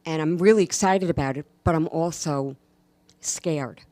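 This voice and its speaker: A woman's voice: deep voice, moderate pace, warm tone, no echo. A woman chatting casually as an interview guest, speaking in an emphatic, enthusiastic way. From New York, USA. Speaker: guest, actress and stand-up comedian.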